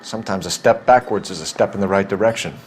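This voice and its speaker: An actor playing a composed, determined young 1940s police detective in a game, speaking in a measured, earnest way. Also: male voice, sits low, noisy background, close to an everyday voice. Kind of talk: naturalistic game drama acting.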